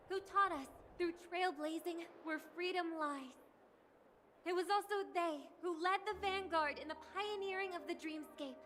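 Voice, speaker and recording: A woman's voice: extremely high pitch, fairly bright tone, a little hiss or hum. An actress voicing a gracious young woman delivering a formal festival speech in a poised, ceremonial manner. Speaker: festival speaker. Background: game music.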